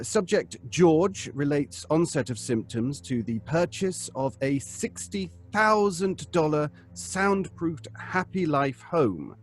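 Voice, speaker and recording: A man playing a calm, measured psychiatrist in a live radio play, dictating in a clinical way. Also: fairly high pitch. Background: faint live music.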